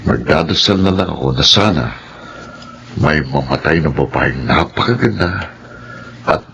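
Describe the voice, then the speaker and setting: A man's voice: very deep voice, animated, unhurried, vocal fry, a bit bright, slight background noise, exaggerated voice, rough recording. A man with a Filipino accent playing a creepy, lecherous funeral parlor boss in a radio horror drama. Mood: brooding, morbid.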